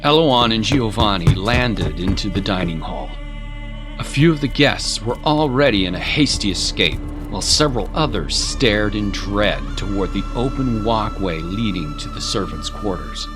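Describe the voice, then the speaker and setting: A man's voice: fairly low pitch, expressive, moderate pace, over music. A man narrating a scripted dramatic story in the manner of an audiobook.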